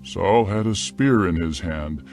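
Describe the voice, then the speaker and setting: A man narrating a dramatized scripture account in a measured, deliberate storytelling style. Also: low voice, a little mellow, faint music behind.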